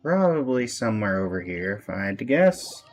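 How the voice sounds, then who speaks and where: A man's voice: lowish voice, big pitch swings, normal speed. A man giving casual live-stream game commentary as the host, with a dry, resigned way of talking. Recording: music playing behind the voice. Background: background music.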